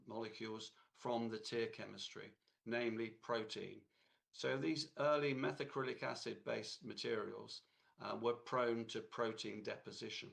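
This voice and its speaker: A man with a cold, hoarse, giving a technical lecture in a halting way with many fillers and restarts. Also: lowish voice, fairly bright tone.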